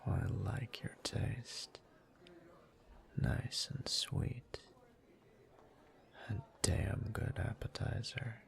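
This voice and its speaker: A man playing a teasing, dominant bully turned seductive lover in an intimate whispered roleplay audio, murmuring in a slow, smug, breathy way. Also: lowish voice, fairly even intonation, full character voice. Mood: seductive, hungry.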